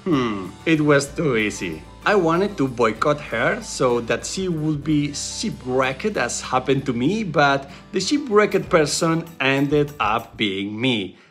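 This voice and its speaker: A man in a native Spanish speaker's voice-over narration, wistful and gently joking as he tells the story. His pitch moves in a lively way, rising and falling. Soft guitar music plays quietly behind him. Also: slow pace, hardly any pauses. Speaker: vlog narrator.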